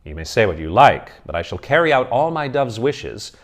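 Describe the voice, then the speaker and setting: Dude doing a gruff old colonel, mourning but bossy, lively pitch. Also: male voice, brisk. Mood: stern. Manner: forceful, emphatic declarations. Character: grieving old colonel, stern and commanding.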